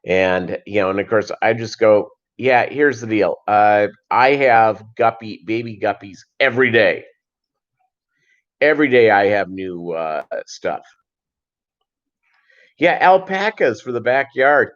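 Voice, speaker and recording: A man hosting a casual livestream, chatting and answering viewers in a rambling, halting, conversational way. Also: fairly low pitch, expressive, fairly bright tone. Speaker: livestream host. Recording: dull, lo-fi livestream audio.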